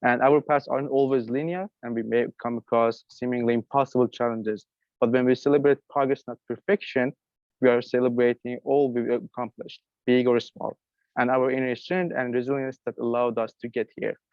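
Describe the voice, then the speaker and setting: A man's voice: medium pitch, flat delivery, normal speed, no echo. A young man giving a prepared presentation as a facilitator of an online event. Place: online video call. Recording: dry, dull lo-fi call audio.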